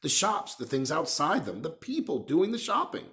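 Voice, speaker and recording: A man reading a children's novel aloud as an expressive storyteller. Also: fairly high pitch, normal speed. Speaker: reader, storyteller.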